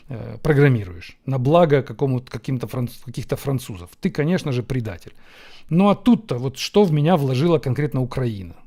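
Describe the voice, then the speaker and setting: A man from Ukraine, hosting a question-and-answer show, musing on viewers' questions with frequent pauses and fillers. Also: mid-range voice, warm tone. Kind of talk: Q&A monologue. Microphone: camera setup.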